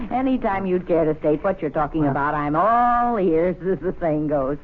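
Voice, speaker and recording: A woman's voice: on the low side, lively intonation, normal speed, a little hiss or hum, close to an everyday voice. A woman playing a nagging, suspicious older wife in an old radio play. She scolds drily and presses with pointed questions, through a thin, narrow broadcast sound. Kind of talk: radio drama acting.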